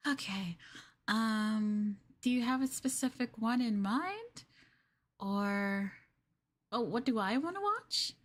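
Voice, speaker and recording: A woman playing a clingy, sweetly possessive young girlfriend in an ASMR roleplay, with a coy, hesitant, coaxing delivery. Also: medium pitch. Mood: hesitant, soft. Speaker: streamer performing ASMR roleplay.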